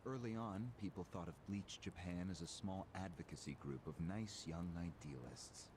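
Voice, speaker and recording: Actor as a deep-voiced, smug political schemer. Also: male voice, noisy background, natural voice. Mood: cynical, confident. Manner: measured, smug, explanatory. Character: calculating, cynical male political operative recounting a grand scheme. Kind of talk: English video game voice acting. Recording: game audio with music behind. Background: game music.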